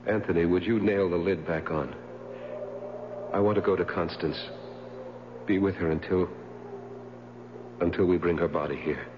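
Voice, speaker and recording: An actor playing a grief-stricken, obsessive man in a 1970s radio drama, speaking in an overwrought, halting way and repeating his words. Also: low voice, steady tone, natural voice. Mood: sorrowful. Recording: muffled vintage radio broadcast with hum.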